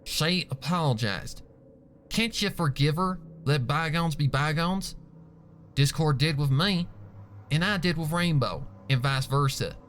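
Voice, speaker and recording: A man's voice: a little high, unhurried, fairly bright tone, a little hiss or hum, voice pushed up. A man voicing a forgiving young female pony in a story, plain-spoken and coaxing.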